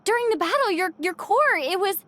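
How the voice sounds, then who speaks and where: An actress playing a gentle, sincere young woman in a video game, earnest and soft-hearted. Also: very high-pitched, animated, a little fast, a little hiss or hum. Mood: concerned, grateful.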